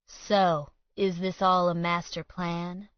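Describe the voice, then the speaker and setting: A woman voicing a smug, spiteful man who needles his rival in loud, quick taunts. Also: on the low side, flat delivery, clear, resonant tone, no room sound. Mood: sneering. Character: sneering jealous male councilman, smug and spiteful. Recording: dull lo-fi dry read.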